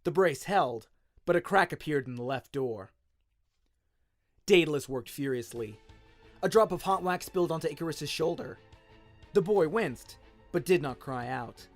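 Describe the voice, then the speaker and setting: A man's voice: on the high side, animated, normal speed, long pauses. A man narrating a scripted story dramatically, in the manner of an audio drama. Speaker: narrator, voice actor. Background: music and sound effects.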